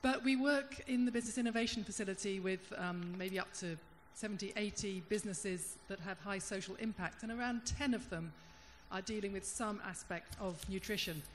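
A woman in the audience at a panel discussion, sharing thoughtful comments into a handheld microphone, pausing and restarting as she searches for words. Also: mid-range voice. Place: large conference hall. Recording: hall reverb, faint room hum.

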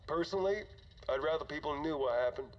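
A man's voice: on the high side, natural voice. Man acting weary starship commander, muffled noisy log. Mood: resigned, rueful. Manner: conversational, halting, sighing. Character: weary older male starship commander, jittery, remorseful. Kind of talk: dramatic audio log acting. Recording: dull, noisy cockpit log recording.

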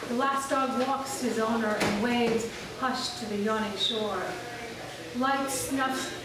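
A middle-aged American woman reading her poems slowly at an open mic, with chatty asides between them. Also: on the high side. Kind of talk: poetry reading. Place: small cafe stage at night. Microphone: stand mic, camera from across the room. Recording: echoey room, distant camera mic, crowd. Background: audience in the cafe.

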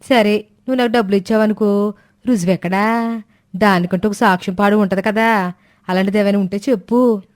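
A woman's voice: medium pitch, steady tone, a little fast, long pauses, dry recording, studio quality, lightly acted. A woman voicing a scheming, sweet-talking middle-aged village woman in a moral story. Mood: scornful. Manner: coaxing, then sharp and mocking. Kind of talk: Telugu storybook voice acting.

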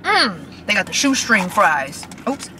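A woman's voice: mid-range voice, very animated, unhurried, sharp tone, slight background noise. A woman of twenty chatting to her phone camera from a parked car, gushing and casual, often saying y'all. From Michigan. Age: 20s. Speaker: vlogger.

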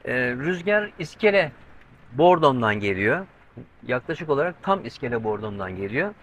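Bright-voiced man on a sailboat. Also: mid-range voice, very animated, moderate pace. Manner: chatty, explaining step by step with many fillers. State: tense, watching the weather. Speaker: solo sailing vlogger. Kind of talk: casual vlog talk to camera. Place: covered cockpit of a sailboat at sea, outdoors. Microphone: handheld camera with mic on a pole.

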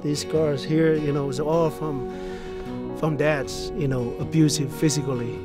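A middle-aged man from Samoa with a Samoan accent, telling his story slowly and reflectively in a documentary interview outdoors on a boom mic. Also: steady tone. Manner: soft-spoken, halting, reflective storytelling. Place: outdoors in a rural field. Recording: music underneath.

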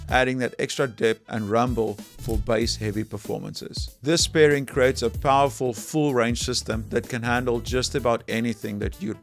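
A man in his forties presents a scripted product review in a clear, explanatory way, speaking close to a desk microphone. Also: mid-range voice. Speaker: presenter, audio technical director. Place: small furnished living room indoors. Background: background music.

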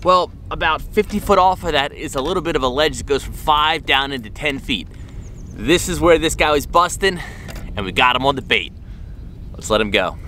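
A man's voice: on the high side, animated, normal speed, sharp tone, slight background noise. A middle-aged man hosting a fishing vlog in casual, enthusiastic, folksy talk, recorded out on an open boat. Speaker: fishing show host.

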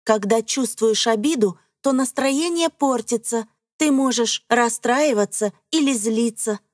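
High-pitched woman narrating for children. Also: measured pace, a little mellow, no room sound, clean studio sound. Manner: simple explanatory phrasing addressed to children. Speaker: narrator of an educational children's cartoon.

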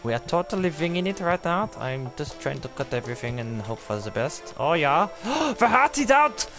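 A young man streaming a game, putting on a mock German-style accent that drifts into a made-up one, swearing and shouting in excitement. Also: fairly high pitch, moderate pace, music in the background. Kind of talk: live let's-play commentary.

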